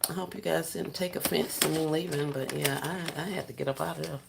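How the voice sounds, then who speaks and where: A soft-spoken woman, chatting casually with viewers on a livestream while she works on gift baskets. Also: low voice, steady tone, brisk, vocal fry, very noisy. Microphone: phone set down while working.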